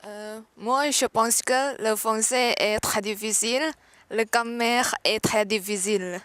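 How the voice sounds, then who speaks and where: Woman student, raised pitch, slow short sentences, dry sound. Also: steady tone, a bit bright, no echo.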